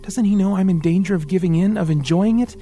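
A guilt-ridden young man from a spoken storybook, voiced by a male reader who lifts his voice well above his own. He sounds strained and trembling, pleading, with soft music underneath. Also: a little high, fairly quick, voice pushed up, close to an everyday voice. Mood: anguished.

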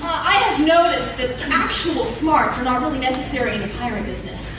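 Arch, high-voiced lady novelist played by a woman. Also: expressive, a little fast, very noisy. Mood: dry, wry. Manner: arch, theatrical, grandly world-weary. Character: dry, self-important female adventure novelist. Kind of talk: live comic radio theatre acting. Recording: very echoey hall, distant microphone.

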